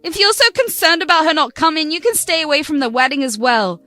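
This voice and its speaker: A spoiled young bride snaps at the people who raised her, her voice pitched a little high and edged with scorn, as a woman puts her on. Soft music plays faintly underneath. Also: very animated, fairly quick. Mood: angry. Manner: scornful, sharp.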